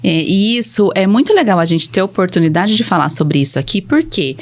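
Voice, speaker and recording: A woman's voice: medium pitch, expressive, a little fast. Brazilian female health professional as podcast guest, mid-range voice, instructive conversational explainer. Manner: didactic, poses questions then answers them. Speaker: speech therapist, podcast guest.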